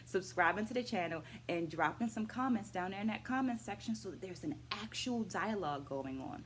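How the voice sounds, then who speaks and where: A middle-aged woman talking through a book for her viewers in a measured, deliberate, instructive way. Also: mid-range voice, slight background noise. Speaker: book-review vlog host, dance educator. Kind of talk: educational book discussion to camera.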